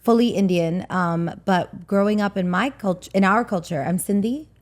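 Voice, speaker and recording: A woman's voice: medium pitch, moderate pace. A woman from Hong Kong co-hosting an interview podcast, talking openly in casual chat, often restarting her sentences. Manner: open, laughing, restarts often. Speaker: podcast co-host, beauty entrepreneur.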